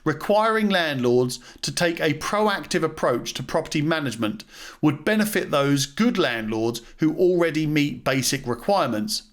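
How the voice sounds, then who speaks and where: A man reading a policy document aloud as scripted narration, in a formal and measured manner. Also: a little high, lively intonation. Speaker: reader, podcast host and landlord.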